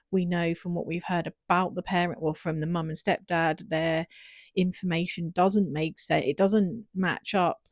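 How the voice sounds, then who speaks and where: A woman hosting a true crime show, calmly summarizing police radio audio. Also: lowish voice, no room sound. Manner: measured, summarizing. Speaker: true crime podcast host. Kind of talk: commentary on archive police audio.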